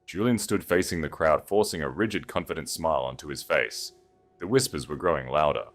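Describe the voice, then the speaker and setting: Very low-voiced man narrating dramatically. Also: a little fast, soft background music. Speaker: audiobook narrator. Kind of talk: dramatic scripted story narration.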